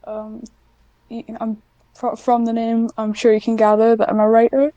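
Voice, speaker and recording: A woman with a fairly high but mellow voice and a very even melody. She chats casually as a podcast guest, hesitant and self-deprecating, recorded close and dry. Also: moderate pace, clear, resonant tone, dry recording, highs cut off. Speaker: podcast guest, amateur scriptwriter. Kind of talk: casual interview chat.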